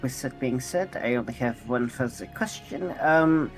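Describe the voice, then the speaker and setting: Earnest amnesiac construct for a fantasy tabletop game, male player, mid-pitched, courteous, formal and halting, soft music underneath. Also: expressive, lightly acted. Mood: polite, hesitant. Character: polite, earnest amnesiac robot adventurer seeking answers.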